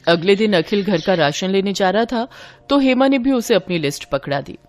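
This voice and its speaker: A woman's voice: medium pitch, lively intonation, moderate pace, a little mellow. Woman narrating a story over music. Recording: music behind the voice.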